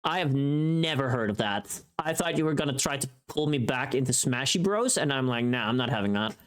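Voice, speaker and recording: A livestream host chatting casually with viewers in an animated, informal, sweary way. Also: medium pitch, a little fast.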